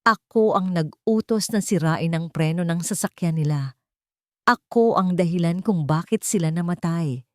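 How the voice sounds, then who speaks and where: A woman's voice: lowish voice, moderate pace, dry recording, lightly acted. A venomous, elegant matriarch of a wealthy family, played by a woman in a radio drama. She is haughty, dismissive and full of hate. The recording is dry and close. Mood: venomous, confessing. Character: venomous elegant rich matriarch, cruel and scornful. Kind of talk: Tagalog radio drama acting.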